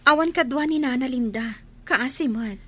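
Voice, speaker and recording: A woman plays a meek, dutiful housemaid in a radio drama. She has a high voice with a breathy, husky edge, submissive and subdued. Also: normal speed, pushed and bright. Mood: resigned, gentle. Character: meek, dutiful housemaid Felisa, resigned and gentle-tempered. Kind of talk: Ilocano radio drama acting.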